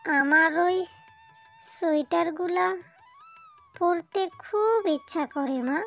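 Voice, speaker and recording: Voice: very high voice, vocal fry, fairly bright tone, faint music behind, exaggerated voice. An actor playing a poor, innocent little boy in a cartoon, speaking to his mother in a naive, earnest way. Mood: innocent, wistful. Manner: naive, earnest, drawn-out delivery. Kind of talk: slow cartoon dialogue.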